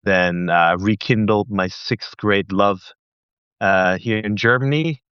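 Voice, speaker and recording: A man in his thirties who grew up in Thailand, a podcast guest in casual interview chat, talking in a chatty, joking way with quick asides. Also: fairly low pitch, fairly bright tone, smooth, no room sound, highs cut off. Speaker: podcast guest, missionary leader.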